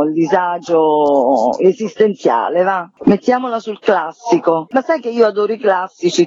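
A woman's voice: fairly low pitch, a little mellow, no echo. A woman speaking casually as a theatre director in a radio interview, informally thinking aloud. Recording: dull lo-fi sound, dry.

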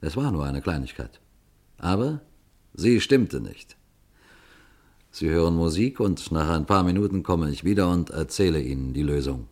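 An actor playing a calm older police detective who narrates a radio play and speaks straight to the listeners in a measured way. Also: male voice, low-pitched. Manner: measured, addresses listeners directly.

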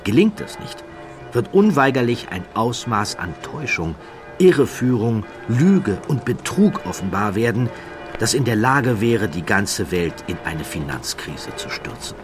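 A man tells a true story in scripted narration, his very low voice measured and grave. He sinks to a near hush at the tense turns. A faint music score runs softly beneath him. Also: big pitch swings.

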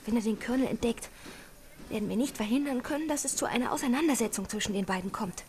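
A woman's voice: fairly high pitch, fast talker, natural voice. A woman playing a refined, anxious young lady traveller in an audio drama, speaking politely and carefully. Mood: anxious, hushed. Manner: polite, careful, often hushed.

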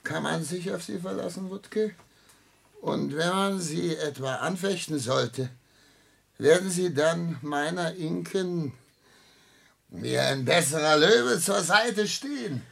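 An actor playing a proud, broken elderly gentleman in a classic radio drama, slow and brooding, his gravelly voice falling into hoarse whispers. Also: on the high side, exaggerated voice. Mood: agitated, pleading. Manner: slow, brooding, theatrical delivery. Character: broken, proud elderly privy councillor, weary and despairing. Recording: old noisy radio recording with hum.

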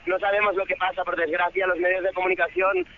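A man from Barcelona speaking earnestly and haltingly in an unscripted live interview over a muffled phone line from a street. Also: high voice, level tone, a little hiss or hum. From Barcelona, Spain. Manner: earnest, halting with repeated words. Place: outdoors in a busy city square.

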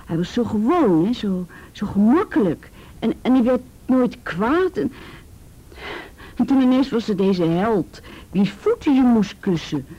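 Breathy, hesitant wounded teenage girl. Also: medium pitch, warm tone, muffled recording. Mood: bitter, hesitant. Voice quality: breathy, often dropping to a whisper. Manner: halting, repeats words, tag questions. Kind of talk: naturalistic radio drama acting.

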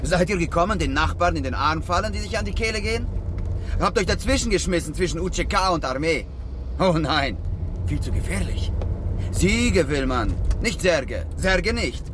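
A man's voice: a little high, animated, normal speed, background noise, close to an everyday voice. An actor playing a bitter, sarcastic kidnapper who taunts his captive in a radio drama. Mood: bitter mocking. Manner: sarcastic, accusing, mocking.

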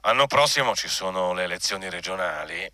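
An actor playing a jovial, conniving personnel director and old crony, jocular and wheedling, in a radio drama. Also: male voice, low voice, expressive, fairly quick, pushed and bright, dry recording. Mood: wheedling, pushy.

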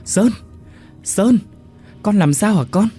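A man voicing a frightened middle-aged mother who cries and pleads in a shrill voice for her son. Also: lively intonation, fairly quick, darkish tone, soft background music, close to an everyday voice. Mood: panicked.

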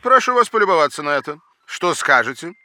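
A man's voice: a little high, sing-song, brisk, sharp tone, dry recording, exaggerated voice. A man playing a fussy, indignant, absent-minded professor in a children's educational audio drama, with a pompous, exasperated theatrical delivery.

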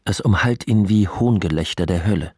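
A man's voice: low-pitched, normal speed, noisy background. A man reading scripted literary narration as the narrator of a radio play. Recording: music clearly behind the voice.

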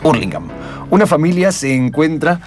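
A man's voice: on the high side, big pitch swings, moderate pace. Argentine man narrating radio theatre, music behind. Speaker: narrator of an improvised radio play. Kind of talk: dramatic radio play narration.

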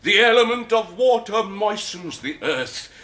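A Jacobean tragedian in full declamation. His voice is high and ringing with force. He draws the verse out slowly and portentously. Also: big pitch swings, bright, forceful tone, heavily acted. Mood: grief-struck declamation. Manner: slow, portentous. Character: declamatory tragic stage actor in Jacobean revenge play.

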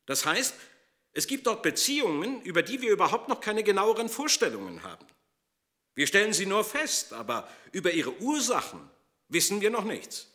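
A man as an earnest particle physicist in a radio play, explaining science in a measured, didactic way. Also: high voice, very animated, sharp tone, exaggerated voice. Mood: explanatory.

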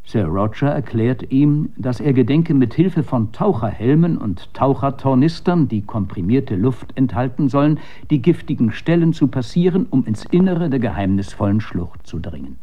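Male narrator, medium pitch, measured literary storytelling with dramatic emphasis, slightly lo-fi radio sound. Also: sing-song. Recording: slightly dull, band-limited radio recording.

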